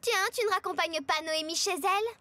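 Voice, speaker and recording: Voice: extremely high pitch, a little fast, background noise. A performer voicing a coy, teasing young schoolgirl in a children's cartoon. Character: coy, teasing schoolgirl, the boy hero's crush. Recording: loud music behind the voice.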